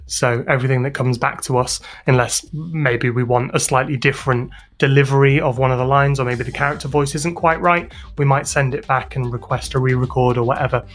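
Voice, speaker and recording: A British man giving casual interview answers as a guest, informal and hesitant as he thinks aloud. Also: mid-range voice. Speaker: interview guest, podcast sound designer and composer.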